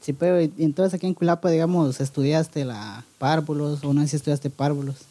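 A man hosts a relaxed interview, asking questions in a fairly high voice. He keeps nudging the talk along and jumps in to complete his guest's sentences. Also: fairly quick. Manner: prompting, finishes the guest's sentences. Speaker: podcast host, interviewer. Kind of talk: casual interview chat.

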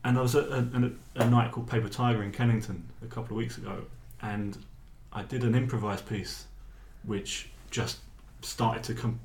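English spoken word performer, rambling and self-interrupting, mid-pitched, roomy echo with faint hiss. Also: male voice, fairly even intonation, moderate pace, hardly any pauses, darkish tone. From England. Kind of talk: casual interview chat.

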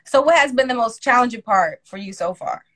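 A woman in her twenties hosting a casual chat, talking in a lively way and capping her points with a punchy one-word tag. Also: a little high, brisk. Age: 20s. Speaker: host.

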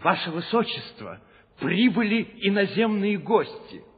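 A man's voice: sits high, very animated, unhurried. An actor playing a devoted old male tutor to a prince, reporting with respectful worry in a classic radio play. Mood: worried, respectful. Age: older. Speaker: court servant in a radio play. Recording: tinny old recording, long reverb, music.

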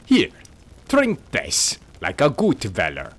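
A man's voice: medium pitch, huge pitch swings, slow, fairly bright tone, exaggerated voice. A man voicing a quarrelsome male spirit with a heavy German accent, speaking broken, bossy English in a storybook reading. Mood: coaxing. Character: gruff insolent male spirit, squat and quarrelsome. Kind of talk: audiobook character voice.

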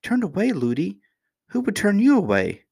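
A man's voice: mid-range voice, animated, moderate pace, darkish tone, voice pushed up, lightly acted. A man voicing an affectionate little princess in a storybook, a young girl with an earnest, polite, childlike manner. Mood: pleading.

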